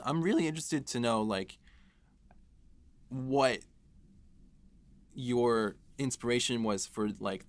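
A man co-hosting a podcast, talking casually and putting questions to a guest. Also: medium pitch. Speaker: podcast co-host and series writer.

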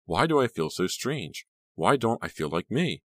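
A man's voice: on the low side, sing-song, moderate pace, no echo, voice pushed up. A weary, plaintive young girl, as a male narrator plays her, drowsy and timid. The recording is dry and close, with the sad, confused temper of a storybook heroine.

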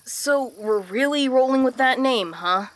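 An actress playing a little talking sapling in a fantasy audio drama, sarcastic and cheeky, with a wry manner. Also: female voice, sits high, lively intonation, a little slow. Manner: wry, snarky.

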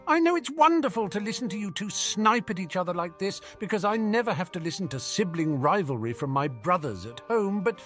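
Feisty schoolgirl, played by a male narrator, raised pitch, scolding and animated, for a storybook. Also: fairly quick, few pauses, faint music behind. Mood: exasperated. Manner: indignant, scolding. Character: feisty, exasperated young schoolgirl with older brothers.

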